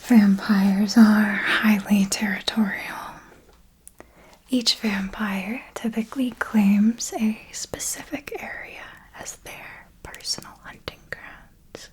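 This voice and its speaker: A woman playing an elegant, seductive vampire mentor in a whispered ASMR roleplay, speaking in a deliberate, intimate and formal way. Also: medium pitch, fairly even intonation, husky, poor audio. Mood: instructive, composed. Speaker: ASMR performer.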